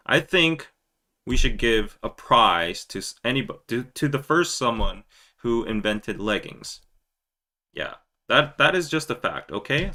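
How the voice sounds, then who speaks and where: A man with a Korean accent hosting a live podcast, talking casually in a rambling, animated way with loud emphatic bursts and quick laughs. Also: mid-range voice. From South Korea. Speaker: podcast host. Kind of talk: casual live-stream chat.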